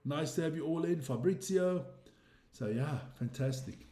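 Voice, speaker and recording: A man's voice: fairly high pitch, normal speed, mellow. Chatty South African man in an echoey room. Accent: South African English. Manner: rambling, chatty, reading viewer comments aloud. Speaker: livestream host. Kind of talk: casual live-stream commentary.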